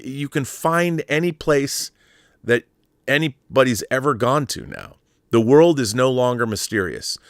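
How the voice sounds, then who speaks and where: A man's voice: on the low side, fairly bright tone, no room sound, studio quality. A man hosting a live show in casual chat, rambling with frequent restarts and chuckles. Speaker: host.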